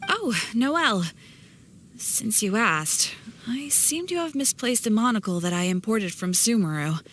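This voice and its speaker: A woman's voice: a little high, animated, measured pace, fairly bright tone, slight background noise. A woman voicing a polite, composed shopkeeper with a slight worry, in a video game. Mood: composed, explaining. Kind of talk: video game character voice acting.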